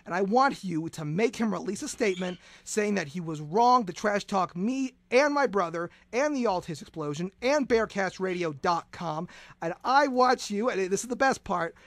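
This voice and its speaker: An excitable man with a high voice hosts a comedic radio show, tripping over restarts and bursting into shouts. He sounds close and dry, with no room around him. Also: no room sound.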